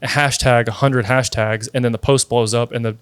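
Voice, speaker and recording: Guest, man, 30, mid-pitched, talkative storyteller, dry close mic. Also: fairly even intonation, moderate pace, smooth, no room sound. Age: 30s. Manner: chatty, many fillers like "like" and "dude". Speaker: guest, sweet tea company founder. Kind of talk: casual interview storytelling.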